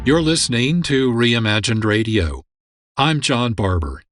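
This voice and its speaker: A man hosting a radio program, reading scripted narration in a measured, informative way. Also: medium pitch, animated, faint music behind. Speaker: radio show host.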